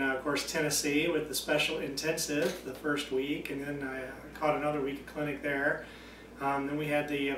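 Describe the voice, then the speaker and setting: Middle-aged man, relaxed holiday chat, roomy echo, distant camera mic, faint hiss. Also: a little high. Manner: easygoing, relaxed with many fillers. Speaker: author and vlogger. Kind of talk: casual holiday greeting. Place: indoors by a stone hearth at home.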